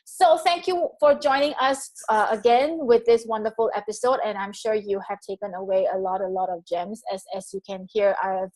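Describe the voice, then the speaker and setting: A woman's voice: medium pitch, normal speed, few pauses, darkish tone. A woman in her thirties from Singapore with a Singaporean English accent, hosting an interview in an enthusiastic, chatty way with frequent laughs. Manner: enthusiastic, laughs often, restarts phrases. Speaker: host. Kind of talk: interview chat.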